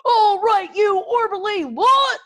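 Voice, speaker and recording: Nasty Viking drill master, shouty and high, storybook, voiced by a woman. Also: a little slow. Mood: bossy. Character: gruff, bad-tempered male Viking trainer.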